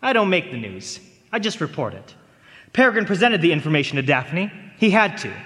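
A man's voice: fairly high pitch, big pitch swings, fairly quick, sharp tone. An actor playing a smug, sly newspaperman who needles his rival with wordy, mock-polite threats in a live radio drama. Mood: smug, menacing. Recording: reverberant concert hall stage.

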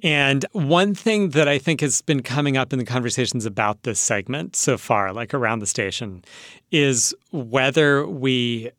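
A man's voice: mid-range voice, unhurried, a bit bright, no room sound, clean studio sound. A man hosting a public radio show, speaking conversationally as an interviewer.

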